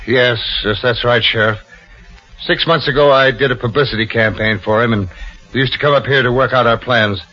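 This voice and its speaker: An actor playing a cool, scheming murderer, smooth and curt, in a 1940s radio crime drama. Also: male voice, medium pitch, steady tone, a little fast, soft background music, lightly acted. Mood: nervously glib. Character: cool, scheming male murderer posing as helpful friend. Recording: muffled old radio recording.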